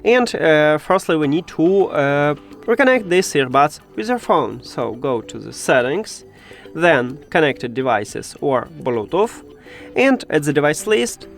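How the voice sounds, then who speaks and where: A man giving a step-by-step tech tutorial, with hesitant, halting phrasing. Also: on the high side, very animated, gravelly, soft background music.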